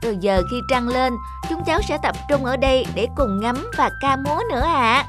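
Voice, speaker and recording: Little cartoon monkey kid, high-pitched, childlike, excitable, music behind. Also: female voice, normal speed. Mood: polite, eager. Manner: childlike, exclaiming. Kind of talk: animated children's fairy tale acting.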